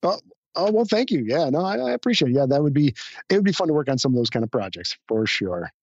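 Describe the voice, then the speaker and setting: Hoarse man, podcast guest, modest and halting, husky voice, dull dry audio. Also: fairly high pitch, big pitch swings, darkish tone, no echo. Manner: modest, hesitant, many restarts. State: hoarse, recovering from illness. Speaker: podcast guest, hobby photographer. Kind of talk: casual interview chat.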